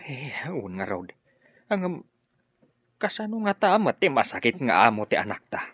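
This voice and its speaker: A man's voice: mid-range voice, very animated, normal speed, breathy edge, no room sound, dull audio. An actor playing an anxious middle-aged father with a fretful manner in an audio drama.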